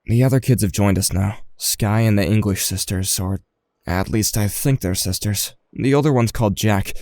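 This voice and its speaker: A man's voice: fairly low pitch, moderate pace, darkish tone, dry recording, natural voice. An actor playing an anxious, volatile teenage boy who narrates his own story with tense intensity in an audio drama. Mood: uneasy. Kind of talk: first-person dramatic narration.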